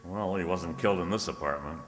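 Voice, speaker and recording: A man's voice: low voice, brisk, close to an everyday voice. A man playing a breezy, teasing ex-cop private detective in an old-time radio drama style, recorded in a very reverberant space. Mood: breezy, flirtatious. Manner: breezy, teasing, first-person hardboiled narration. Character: wisecracking ex-cop private detective, unflappable, flirtatious. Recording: very reverberant room or hall.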